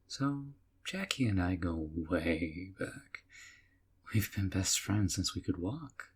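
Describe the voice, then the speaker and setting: A young man voicing a doting, obsessive male kidnapper who talks softly and coaxingly, often in a whisper, with a husky voice. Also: deep voice, lively intonation, slow, full character voice. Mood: fond. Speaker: voice actor. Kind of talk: audio roleplay.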